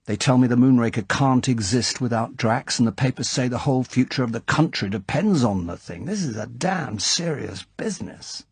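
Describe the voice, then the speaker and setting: A man's voice: mid-range voice, animated, moderate pace, hardly any pauses, breathy edge, no echo, voice pushed up. A man voicing an indignant older club chairman who bursts out in angry, worried exclamations. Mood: anxious. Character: blustering older male club chairman, easily angered.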